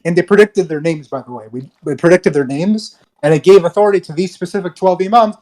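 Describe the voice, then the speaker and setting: A young man with a fairly high voice, calling in over a video call. He explains himself in rambling, hesitant bursts and keeps restarting his sentences. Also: fast, darkish tone, poor audio. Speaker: caller.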